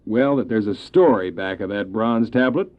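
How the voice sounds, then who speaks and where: Man acting a hesitant, animated curious visitor. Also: on the low side, dull audio, lightly acted. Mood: politely probing. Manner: hesitant, halting, inquisitive. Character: curious, polite, hesitant male traveller visiting the hospital. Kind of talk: radio drama acting. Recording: thin, band-limited old cassette drama.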